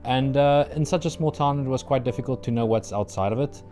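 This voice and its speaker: A young man from South Africa with a South African accent, Afrikaans his first language, answering interview questions about his doctoral studies in an earnest, measured way with frequent fillers. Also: medium pitch, dark tone, soft background music. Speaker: PhD candidate, interviewee.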